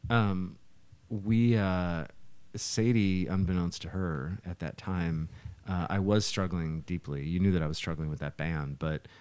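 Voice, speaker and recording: A man in his fifties telling stories casually on a podcast, halting and emphatic as he goes. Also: low voice, a little mellow, clean tone, no room sound. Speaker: musician and podcast co-host. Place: small home living room.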